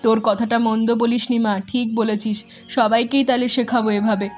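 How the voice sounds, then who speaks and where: A woman voicing a gentle, hospitable village mother in an animated cartoon. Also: fairly high pitch, a little fast, faint music behind, natural voice. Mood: motherly.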